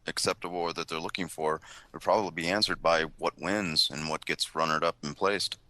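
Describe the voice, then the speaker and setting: A man with a very deep voice and a clearly bright, forward tone. He chats casually as one of a podcast panel, and his voice sounds dry and close. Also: fairly even intonation, brisk, no room sound. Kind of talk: casual chat.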